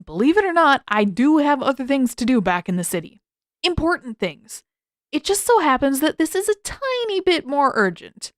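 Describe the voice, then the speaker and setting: Woman doing a snarky impatient teen boy from a fantasy audiobook, pitched up, dry sound. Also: sing-song, moderate pace, no echo. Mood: urgent, emphatic. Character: sardonic, blunt, irritable teenage boy in a fantasy.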